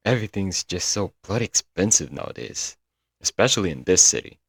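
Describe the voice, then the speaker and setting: Deep-voiced lovesick yandere guy, acted by a man. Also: animated, fairly quick, vocal fry, fairly bright tone, breathy edge, dry recording, heavily acted. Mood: fretful, exasperated. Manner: doting, earnest, dramatic with sighs and chuckles. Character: lovesick, broke young male yandere stalker-kidnapper, clingy and sweet. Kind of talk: intimate ASMR audio roleplay, scripted monologue.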